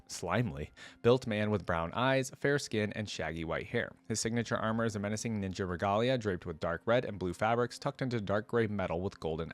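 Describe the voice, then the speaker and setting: A man's voice: lowish voice, no echo. A man hosting a reaction video, reading aloud and adding casual, informal asides to viewers.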